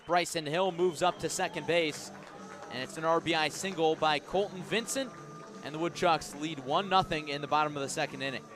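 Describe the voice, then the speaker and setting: A man doing live radio baseball play-by-play as the announcer, measured between pitches and lifting into excited calls on the action. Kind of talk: live radio sports commentary.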